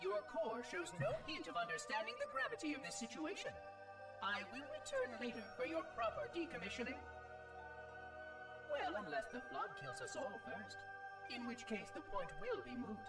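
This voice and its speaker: A man's voice: very high-pitched, huge pitch swings, moderate pace, full character voice. A man voicing a fussy, officious robot caretaker in a game cutscene, with prim, formal and imperious diction. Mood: imperious, dismissive. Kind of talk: scripted game cutscene acting. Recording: distant, with music underneath. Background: music.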